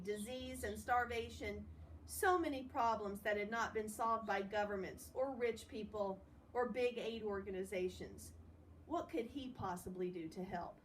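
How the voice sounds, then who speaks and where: High-voiced woman reading a story aloud. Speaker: storybook reader. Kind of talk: expressive read-aloud with casual asides. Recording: distant mic with faint background noise.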